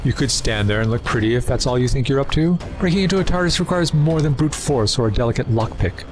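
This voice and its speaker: An actor in an audio drama playing a suave, erudite renegade Time Lord villain, wordy, condescending and persuasive. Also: male voice, mid-range voice, expressive, fast talker, over music, natural voice. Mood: sly, probing. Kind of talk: full-cast audio drama acting.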